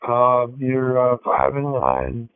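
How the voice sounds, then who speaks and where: An American man making a polite, informal ham radio contact, speaking haltingly over a radio link. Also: mid-range voice, very animated, clean tone, no room sound. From Georgia, United States. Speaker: amateur radio operator. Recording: tinny narrowband digital radio transmission.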